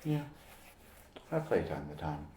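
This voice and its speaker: A man performing an ASMR roleplay as a friendly amateur basketball player, speaking in short, deliberate, halting phrases as he shows objects. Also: a bass voice, very animated, warm tone. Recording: roomy echo, clear background noise.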